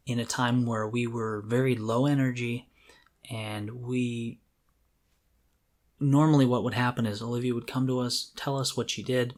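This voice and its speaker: Candid man chatting on a podcast. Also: mid-range voice. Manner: self-deprecating, candid. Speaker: podcast co-host. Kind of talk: casual conversational podcast talk.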